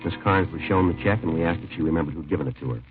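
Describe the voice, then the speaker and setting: An actor playing a terse, businesslike police detective in a 1950s radio crime drama, speaking in a clipped, deadpan way. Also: male voice, deep voice, racing, close to an everyday voice. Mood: deadpan, factual. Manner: clipped, deadpan, matter-of-fact. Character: terse, businesslike male police sergeant detective narrating his case. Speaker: detective and narrator. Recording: tinny old radio recording, music behind.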